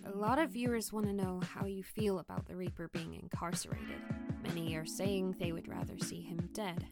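A woman's voice: on the low side, steady tone, moderate pace, loud music behind the voice, lightly acted. A woman playing a young TV interviewer and supportive friend in an audio drama, composed and caring. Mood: professional. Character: sympathetic, upbeat young female TV interviewer and close friend.

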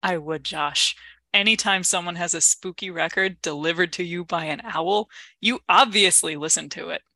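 A woman's voice: fairly low pitch, normal speed, bright, forceful tone, dry recording. A woman co-hosting a podcast in playful, lightly scripted banter. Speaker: podcast co-host.

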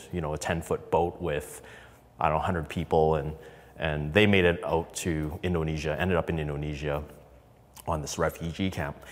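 Male, thirties, deep pitch, halting conversational delivery, candid storytelling, reverberant recording. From Canada, raised in Calgary from infancy. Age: 30s. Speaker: podcast guest, business co-founder. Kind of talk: candid personal storytelling in an interview.

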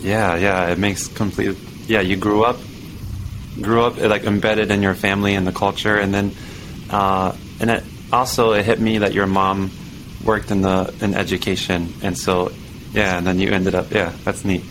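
Interview host: lowish male voice, relaxed, halting questions, noisy recording. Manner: relaxed, frequent backchannels, hesitant questions. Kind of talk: casual interview conversation. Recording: noticeable background noise.

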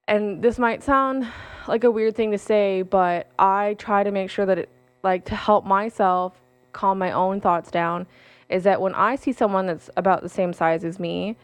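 Candid woman with a smooth, dry voice. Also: medium pitch, fairly even intonation, measured pace, no echo. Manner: frequent ums, self-corrections, candid and personal. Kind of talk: casual solo talk with read-aloud passages.

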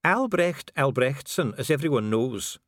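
A man's voice: medium pitch, expressive, a little slow, no echo, studio quality, pitched above the performer's own voice, lightly acted. A man voicing a solemn, disdainful dwarf official in an audiobook, formal, precise and pompous. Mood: stiff, solemn. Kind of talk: audiobook character acting.

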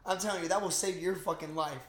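A man with a fairly high voice speaks in a very echoey room. He is a podcast host in loose, casual recap mode, full of slang and swearing, as if talking to a close buddy. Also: steady tone, fast talker. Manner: profane, slangy bro talk. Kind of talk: casual movie recap chat.

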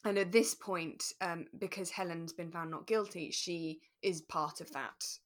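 A woman co-hosting a podcast, talking through a radio drama in a relaxed, careful, explanatory way. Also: lowish voice, measured pace. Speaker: podcast co-host.

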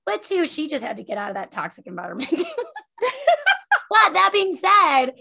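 A woman hosts a casual interview podcast as if chatting with a close friend. Her voice sits fairly high, with plenty of vocal fry. Her manner is effusive and giggly. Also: very animated, moderate pace, bright, forceful tone. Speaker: podcast host.

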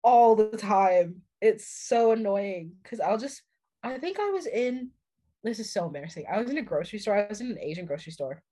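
A young woman co-hosting a podcast, chatting casually and telling self-deprecating stories with energy. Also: medium pitch. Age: young adult. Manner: lively, self-deprecating storytelling. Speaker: podcast co-host. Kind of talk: casual chat.

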